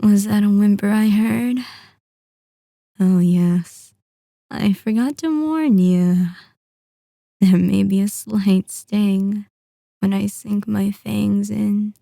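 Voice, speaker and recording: A woman playing a sadistic, possessive vampire mistress in an ASMR roleplay, speaking softly and breathily with lingering, condescending endearments. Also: medium pitch, steady tone, takes it slowly, creaky, pauses often, no echo, studio quality. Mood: gloating, hungry.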